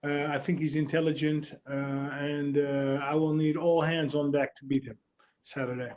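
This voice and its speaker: Dutch guy, fighter at a press day, bit high pitched, short plain answers with fillers. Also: flat delivery, moderate pace, darkish tone. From Netherlands.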